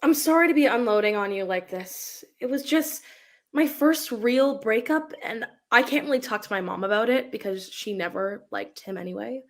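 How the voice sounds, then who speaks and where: Woman playing an earnest, higher-voiced teenage girl. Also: expressive, normal speed. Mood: hurt, guilty. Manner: earnest, unburdening. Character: confiding, guilt-ridden teenage girl after a breakup. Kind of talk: dramatic script reading.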